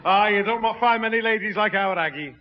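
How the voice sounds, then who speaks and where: Male actor as blustering Northern English bloke, high-pitched, mangles fancy words, old radio comedy in a reverberant hall. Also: fairly quick, close to an everyday voice. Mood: jovial, boastful. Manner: blustering, malapropism-prone. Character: jovial, pompous working-class Northern man, mangles big words. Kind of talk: 1960s radio comedy acting. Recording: muffled, echoing, noisy old radio broadcast.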